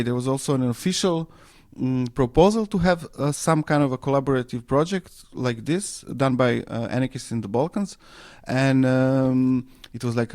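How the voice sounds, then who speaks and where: A man from Serbia, speaking English as a second language, answering radio interview questions with many pauses and fillers. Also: medium pitch, darkish tone. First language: Serbo-Croatian. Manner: hesitant, many fillers and restarts. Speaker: interview guest, anarchist newspaper editor.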